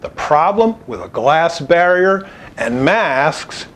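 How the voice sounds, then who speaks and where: A man presenting a scripted product overview in an instructional, emphatic, clearly structured way. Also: on the high side, sing-song, slow, a bit bright, slight background noise. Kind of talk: scripted explainer narration to camera.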